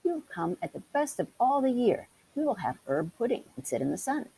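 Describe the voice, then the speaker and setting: A woman voicing a timid, gentle male country mouse in a storybook, meek and earnest. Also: on the high side, sing-song, brisk, voice pushed up, poor audio. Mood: welcoming. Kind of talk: storybook character voice. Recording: hissy background noise.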